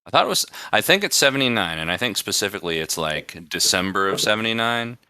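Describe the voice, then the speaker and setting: Deep, bright-voiced man chatting on a podcast. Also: sing-song, fast talker, clear, resonant tone, dry recording, clean studio sound. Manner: rambling, conversational. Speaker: podcast host. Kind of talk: casual chat.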